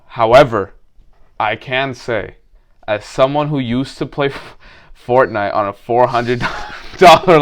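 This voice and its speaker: Young guy, low smooth voice, rambling storyteller, casual podcast co-host. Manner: rambling, drawn-out storytelling with many fillers. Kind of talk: casual chat.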